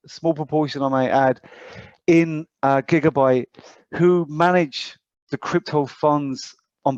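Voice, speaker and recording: A man's voice: a little high, darkish tone, no room sound. A British man giving an informal financial advisor's presentation to clients over an online call, explaining in a hesitant way with hedged asides. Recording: dull, muffled online call audio.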